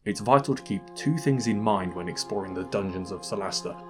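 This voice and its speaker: A man's voice: on the low side, fairly quick, over music. A man hosting a scripted comedic video game review, narrating in a dry, wry manner.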